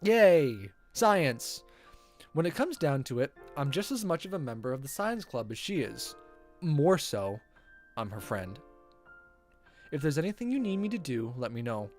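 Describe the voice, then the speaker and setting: Game streamer, very animated intonation, theatrical read-aloud, playful asides, stumbles over words, music underneath. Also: medium pitch, a little slow. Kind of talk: reading visual novel aloud with casual reactions.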